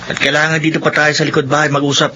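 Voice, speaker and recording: An actor playing an insolent, hot-tempered young drug addict in a Filipino radio drama. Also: male voice, fairly high pitch, monotone, racing, sharp tone, slight background noise, low-quality recording. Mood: angry. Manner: insolent, belligerent.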